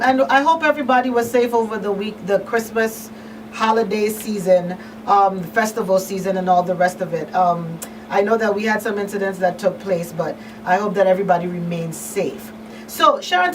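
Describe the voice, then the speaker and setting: A woman's voice: medium pitch, fairly quick. A woman hosting a live radio call-in show, effusive and repeating words for emphasis. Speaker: talk show host. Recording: distant mic, faint noise behind.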